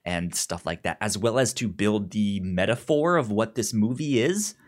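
A man co-hosting a podcast in casual film discussion, halting and often repeating words as he goes. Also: on the low side. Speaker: podcast co-host.

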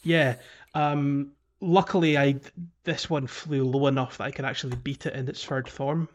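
A man co-hosting a casual gaming podcast, explaining things in a halting, conversational way. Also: mid-range voice, fairly even intonation, over a noisy crowd. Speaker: podcast co-host. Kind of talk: casual video game podcast discussion.

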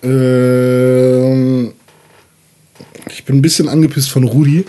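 A man's voice: medium pitch, flat delivery, slow, warm tone, smooth. A young man improvising a story as a player in a tabletop role-playing game, chatting casually and with slang. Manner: informal, slangy.

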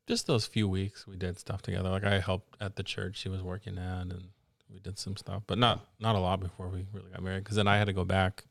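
A man's voice: sits low, flat delivery, fairly quick, gravelly, dry recording, clean studio sound. A man from Arizona, an interview guest, laid-back and joking. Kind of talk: casual interview.

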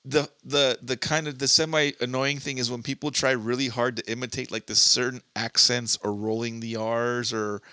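Podcast co-host from Southern California, male, bright voice, rambly and profane, close dry mic. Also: mid-range voice, level tone, normal speed, hardly any pauses, no room sound. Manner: rambling, many restarts and "like" fillers, swears. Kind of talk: casual podcast chat.

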